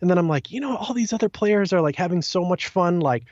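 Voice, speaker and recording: A man's voice: high-pitched, lively intonation, fast, darkish tone, no echo, dull audio. A man chatting casually as a podcast guest, enthusiastic and rambling, with lots of "like" sprinkled through his sentences.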